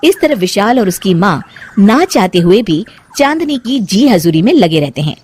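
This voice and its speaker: A woman's voice: medium pitch, sing-song, normal speed, noisy background. A woman narrating a scripted moral story as the storyteller between scenes.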